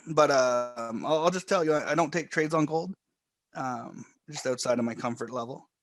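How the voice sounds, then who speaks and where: A man calmly walking viewers step by step through charts and trading software on a live stream. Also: medium pitch, moderate pace, soft background music. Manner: calm, explanatory, step-by-step. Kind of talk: live screen-share trading walkthrough.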